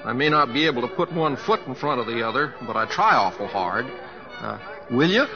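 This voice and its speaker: An actor playing a folksy, humble, teasing young cowboy with a Southwestern American accent and a homespun, hesitant drawl, in old radio drama style. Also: male voice, mid-range voice, lively intonation, fairly quick, lightly acted. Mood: playful, humble. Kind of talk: 1940s radio acting. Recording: muffled old radio broadcast with music.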